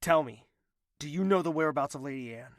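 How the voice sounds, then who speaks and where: A man's voice: on the high side, a little fast, no room sound, clean studio sound, natural voice. A man playing a lovesick, stubborn young prince in an audio drama, earnest, formal and melodramatic. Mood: determined.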